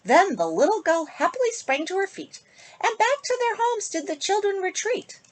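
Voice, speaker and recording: A woman reading a rhyming picture book aloud to children in an expressive, sing-song manner, with phrases that often end softly. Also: very high voice, moderate pace. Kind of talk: storybook read-aloud for children.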